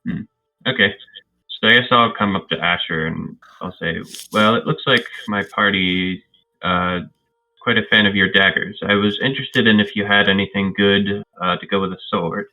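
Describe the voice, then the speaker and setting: Tabletop player: deep-voiced man, casual, hesitant roleplay chat, muffled phone-quality audio. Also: steady tone, moderate pace. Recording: muffled, narrow phone-like sound.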